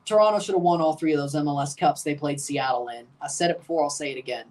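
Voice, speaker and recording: A man's voice: on the high side, fast, distant mic. Chatty man calling a live match. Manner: chatty, rambling, calls goals excitedly. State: guarding a strained voice. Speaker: streamer hosting a match watchalong. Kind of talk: live casual match commentary.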